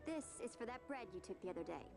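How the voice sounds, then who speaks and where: High youthful voice, girl, earnest, scolding, caring, game cutscene over music. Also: big pitch swings, fast talker, creaky. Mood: teasing, chiding. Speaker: video game character.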